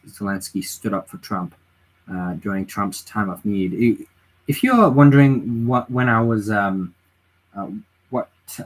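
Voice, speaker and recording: A man with a low, husky voice streams late at night from home and sounds tired. He talks through his views casually, restarting sentences often and filling gaps with uh and um. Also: low-quality recording. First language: English. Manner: rambling, reads chat aloud, frequent restarts and fillers. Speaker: livestreamer. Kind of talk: casual political livestream chat.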